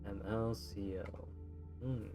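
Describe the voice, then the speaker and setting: A man's voice: lowish voice, steady tone, takes it slowly, warm tone. A man giving casual video game commentary, thinking aloud in a rambling way. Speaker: streamer playing a video game. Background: video game music.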